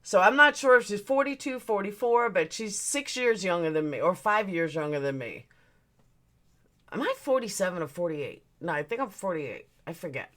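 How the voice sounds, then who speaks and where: A woman's voice: medium pitch, expressive, normal speed, fairly bright tone. A woman in her forties hosting a livestream, chatting casually as she reads out and answers viewer comments, blunt and swearing now and then. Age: 40s. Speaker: livestream host.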